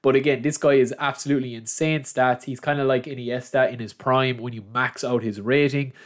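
A young man talks casually over a screen recording, sounding a little nasal from a head cold. His manner is chatty and matey, addressing his audience as lads while he walks through player picks. His pitch sits at an ordinary middle level for a man. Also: steady tone, fairly quick. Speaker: gaming channel host. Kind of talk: screen-recorded game guide.

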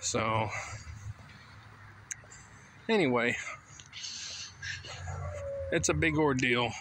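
A middle-aged man rambles easygoing into a phone at arm's length as he walks a suburban street. He speaks at an unhurried pace in a mid-range voice, trailing off into fillers. Faint outdoor street sounds sit behind him. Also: mid-range voice, fairly bright tone, slight background noise. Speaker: vlogger. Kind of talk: casual walking vlog monologue. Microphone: phone held at arm's length.

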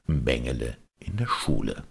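A man's voice: a bass voice, sing-song, a little slow, vocal fry, breathy edge. A man reading scripted storybook narration as an audiobook narrator.

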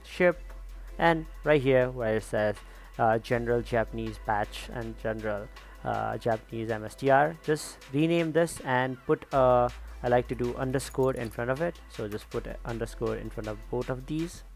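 A man's voice: mid-range voice, normal speed, creaky. A man hosting a tutorial, talking casually through instructions step by step with frequent little check-ins. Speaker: tutorial host. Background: background music.

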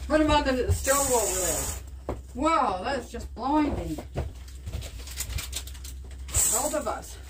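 A woman's voice: fairly high pitch, lively intonation, slow pace, long pauses. An older woman from Scotia, chatting and teasing in a casual home vlog filmed on a phone held at arm's length. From Nova Scotia, Canada. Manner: chatty, teasing, laughs often. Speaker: vlogger. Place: parked truck, then a home kitchen. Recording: noisy phone recording.

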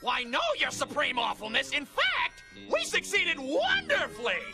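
A man's voice: very high voice, huge pitch swings, measured pace, creaky, pushed and bright, full character voice. A man playing a gleefully nasty robot henchman in a cartoon, gloating and cackling with exaggerated delivery. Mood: fawning. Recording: music behind, old cartoon soundtrack.

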